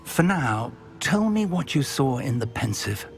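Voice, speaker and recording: Casting: pensive, encouraging older mentor wizard, fantasy game; creaky voice, varied melody. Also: male voice, medium pitch, very animated, a little slow, soft background music. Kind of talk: video game dramatic acting.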